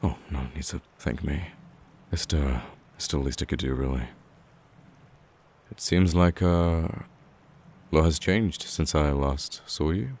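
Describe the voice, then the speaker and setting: A man playing a betrayed, protective young male friend in an emotional audio roleplay, speaking haltingly with sighs and stammers. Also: very deep voice, darkish tone, full character voice. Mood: subdued, probing. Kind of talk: emotional ASMR roleplay acting.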